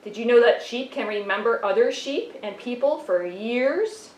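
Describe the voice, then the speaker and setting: A touchy, indignant sheep, voiced by a woman, protests in a huffy, defensive tone and keeps repeating her questions. The voice sits a little high and rings in an echoey church room. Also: lively intonation, normal speed, darkish tone, lightly acted. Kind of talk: church puppet show.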